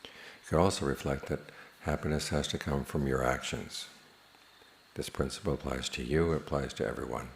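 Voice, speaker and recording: A man teaching a guided meditation in a measured, plain-spoken manner, leaving long pauses between phrases. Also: rumbling low, gravelly, slight background noise.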